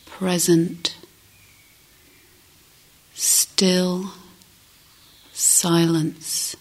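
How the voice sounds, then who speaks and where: A woman leads a guided meditation in a husky, breathy voice that often falls close to a whisper. Her pitch sits a little low. She speaks very slowly and gently, leaving long pauses between short phrases. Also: level tone, rough recording. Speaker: meditation teacher.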